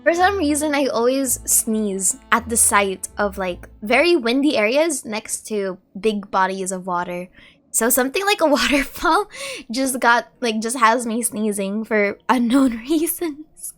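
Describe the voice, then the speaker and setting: A woman streams casual gameplay commentary while sick with a cold. Her voice is somewhat high and takes its time, with soft game music behind it. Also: expressive, unhurried.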